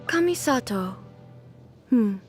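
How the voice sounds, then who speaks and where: A woman voicing a stern, solemn immortal lightning ruler in a video game, speaking in a formal, measured, imperious manner. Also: a little high, animated, soft background music. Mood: reflective, composed. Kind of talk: scripted video game character voice lines.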